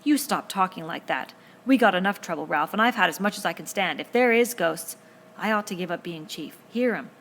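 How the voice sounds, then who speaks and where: A fretful, plump schoolboy with glasses, as a woman reader puts him on, pleading in a thin, whining tone. His worried talk keeps climbing toward a squeak. Quiet room noise sits faintly behind him. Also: animated, fairly quick. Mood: anxious. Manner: plaintive, whining, rises to a squeak. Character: fearful fat schoolboy with glasses, anxious.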